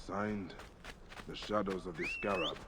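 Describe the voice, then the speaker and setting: An actor playing a stern, watchful middle-aged warrior in a video game, muttering terse, brooding observations. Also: male voice, low voice, unhurried, heavy background noise. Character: gruff veteran Medjay warrior, stern and watchful. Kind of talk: video game cutscene acting. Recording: loud music behind the voice.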